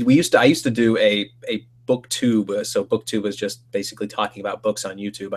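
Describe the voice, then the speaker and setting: A man who is guest host on a book podcast, chatting casually and rambling with many restarts. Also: lowish voice.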